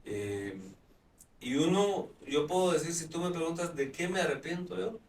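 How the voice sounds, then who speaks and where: A man's voice: fairly high pitch, lively intonation, moderate pace, a little hiss or hum. Chatty male talk show host. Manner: chatty, many fillers and restarts. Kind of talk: live talk show chat. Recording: roomy, distant mic.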